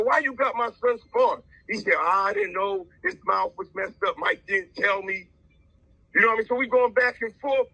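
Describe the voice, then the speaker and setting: A man's voice: very high-pitched, sharp tone, breathy edge, no echo, muffled recording. A man telling a story casually in the first person, agitated and blunt, with heavy swearing. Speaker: interviewee, father of a boxer.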